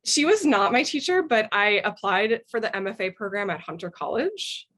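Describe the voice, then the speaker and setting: A woman's voice: mid-range voice, sing-song, moderate pace, fairly bright tone. A woman speaking as a guest author, giving thoughtful answers in a book interview.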